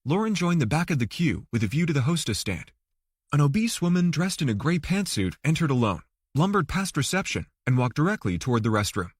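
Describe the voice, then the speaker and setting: A man's voice: a little high, very animated, brisk, breathy edge, dry recording, clean studio sound. A man reading a thriller novel aloud as an audiobook narrator. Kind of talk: scripted fiction narration.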